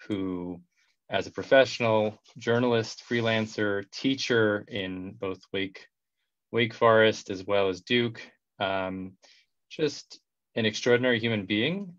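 A man in his thirties hosting an online conversation, warm and effusive, repeating words as he builds his sentences. His voice sits low and reaches the ear dry and a little muffled through a headset on a video call. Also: no echo. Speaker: host.

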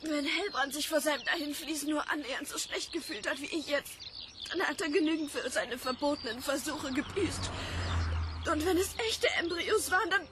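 A woman's voice: sits high, normal speed, noisy background, close to an everyday voice. An actress playing an anxious, queasy young woman, a reluctant sleuth who complains colloquially in a radio drama. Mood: unwell, weary. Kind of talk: German radio drama acting.

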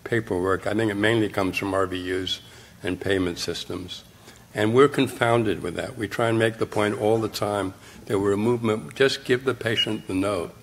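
Gravelly older man giving a keynote. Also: lowish voice, slight background noise. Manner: blunt, wry, punchy short phrases. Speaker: keynote speaker. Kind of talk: conference keynote talk. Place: hotel conference room. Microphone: podium mic, filmed from the audience.

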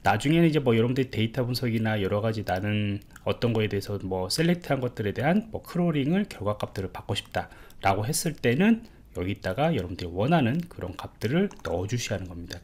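A man walks through code in a screen-recorded lesson, his pitch rising and falling in an animated way. He explains at an easy pace, with plenty of fillers and little rhetorical questions to the learner. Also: quick pace, few pauses. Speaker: programming instructor. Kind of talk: screen-recorded coding tutorial.

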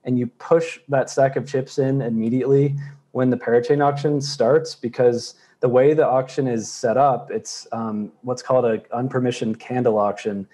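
A man in his thirties talks through a slide presentation on a webcam video call from a room at home. His voice is mellow, and he explains steadily with frequent "um"s and "kind of"s. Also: mid-range voice, steady tone, moderate pace. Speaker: crypto project presenter. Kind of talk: explaining slides at a virtual conference.

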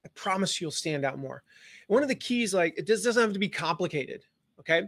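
A man's voice: sits high, animated, a little fast, a bit bright, dry recording. A man giving a casual live-stream business lesson, rambling and joking with lots of fillers and restarts. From Southern United States. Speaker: online business coach.

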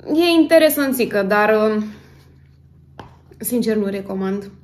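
A woman's voice: mid-range voice, expressive, darkish tone. A woman in her thirties from Romania, chatting casually to camera in a chatty, self-dramatizing way, as a vlogger at home. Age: 30s. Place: home kitchen, indoors.

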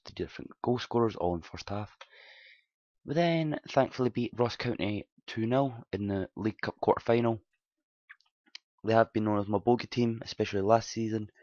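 A slightly hoarse man with a lowish voice rambles casually through his season's results, often correcting himself mid-sentence. The recording is dull and low-fi, with a dry, close sound. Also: moderate pace, darkish tone, no room sound. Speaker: game commentator. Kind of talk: casual gameplay commentary. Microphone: desktop mic at home, quiet.

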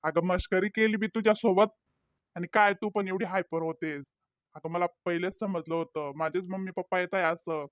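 A man voicing a young husband in a comedy cartoon, talkative and sly, with hesitant pauses. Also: high-pitched, fairly even intonation, dry recording, highs cut off, natural voice. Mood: teasing. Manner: talkative, wheedling, hesitant fillers.

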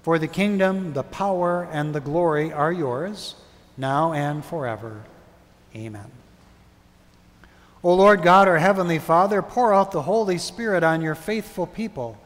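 Middle-aged man, pastor, measured liturgical reading, slightly high voice, long church reverb. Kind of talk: liturgical prayer and blessing. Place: large brick church sanctuary.

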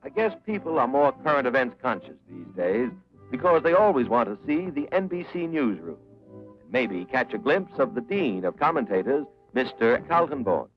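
A man's voice: mid-range voice, sing-song, moderate pace. A man with an American accent giving scripted narration in the style of a 1940s promotional film. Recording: dull vintage 1940s film soundtrack, music behind. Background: loud background music.

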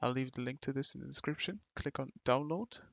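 A man presents a software walkthrough with a gravelly edge to his voice. He gives instructions in short, halting phrases, recorded close and dry as a voice-over. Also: medium pitch, no echo, highs cut off. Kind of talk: step-by-step tutorial narration. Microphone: close mic, screen-recording voice-over.

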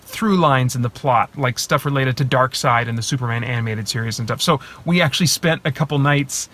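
A man in his thirties talking casually to a phone camera from inside his parked car, thinking aloud with many pauses and restarts. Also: medium pitch, a bit bright. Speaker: movie reviewer and channel host. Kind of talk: casual spoiler talk to camera. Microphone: phone camera at arm's length.

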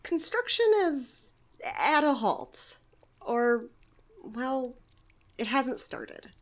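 A woman's voice: high voice, animated, drawn-out words, exaggerated voice. A woman playing an evasive, chatty community center manager in a naturalistic audio drama, hesitant yet earnestly explanatory. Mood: hesitant, sheepish.

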